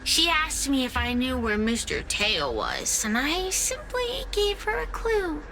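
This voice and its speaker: A woman's voice: high-pitched, wildly swooping pitch, measured pace, bright, forceful tone, slight background noise, exaggerated voice, low-quality recording. A woman voicing a taunting, sadistic female spirit in a video game, drawling theatrically and toying with listeners. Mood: gleeful cruelty.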